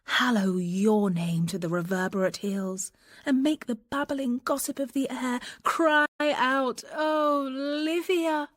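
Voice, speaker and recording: A young woman passing as a gentleman envoy, earnest and ardent. The verse is unhurried and lyrical, with bold feeling, in classical radio drama style. The sound is close and dry. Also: high voice, no room sound. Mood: passionate pleading. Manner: earnest, lyrical, deliberate delivery.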